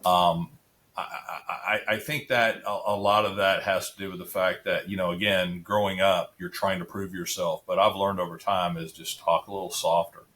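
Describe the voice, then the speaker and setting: A middle-aged man with a deep voice, chatting casually as a podcast guest, rambling with restarts and filler words. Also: little pitch movement, normal speed, a bit bright. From Central Florida area resident. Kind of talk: casual interview chat.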